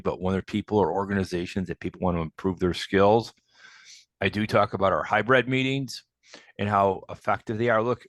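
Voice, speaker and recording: Man, lower voice, plain upbeat speaker introduction, dry room. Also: no room sound. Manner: plain, enthusiastic, repeats phrases. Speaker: club member introducing a speaker. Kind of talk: informal introduction remarks.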